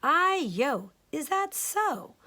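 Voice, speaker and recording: A woman voicing a kindly, startled old grandmother in a storybook, with a high, quavering voice. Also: big pitch swings, very slow, bright, forceful tone, heavily acted.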